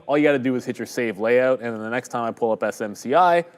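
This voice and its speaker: A man's voice: medium pitch, fast talker. A man hosting a live stock-trading stream, giving rambling, conversational, self-deprecating market commentary. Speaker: day-trading stream host.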